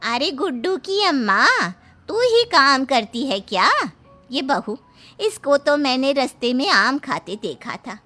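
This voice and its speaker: Woman voicing a gossipy older cartoon neighbour, high pitch, slow drawn-out speech, prying, music behind. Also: sing-song, sharp tone, exaggerated voice. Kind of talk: Hindi cartoon story dubbing.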